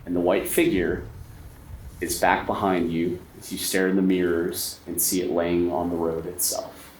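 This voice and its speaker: A man's voice: low voice, normal speed, gravelly. A man acting as game master, narrating a tabletop horror game to players. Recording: echoey room, faint noise.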